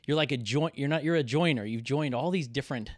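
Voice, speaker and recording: Male host, mid pitch, dry close mic; searching, filler-heavy question style. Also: fast, dry recording. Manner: thoughtful, searching phrasing with many fillers. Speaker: podcast host. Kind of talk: conversational interview.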